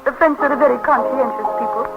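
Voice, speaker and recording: A woman's voice: sits high, quick pace, loud music behind the voice. An actress playing a witty, capricious upper-class English woman in love, with an arch, clipped theatrical manner in 1930s radio drama style. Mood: light, arch. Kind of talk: 1930s radio drama acting, drawing-room comedy. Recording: noisy, muffled 1930s radio broadcast recording.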